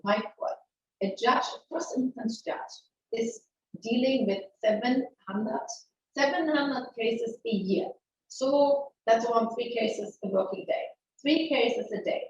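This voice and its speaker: A middle-aged woman with a German accent gives a frank, lively webinar presentation, often restarting sentences and asking rhetorical questions. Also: fairly high pitch. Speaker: webinar presenter, maritime lawyer. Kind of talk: conversational legal webinar presentation. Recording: very echoey, distant, muffled webinar audio.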